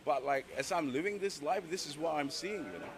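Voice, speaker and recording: A man's voice: on the high side, brisk, noisy background. A man rambling through street interview answers in a conversational way. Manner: rambling, conversational, many fillers.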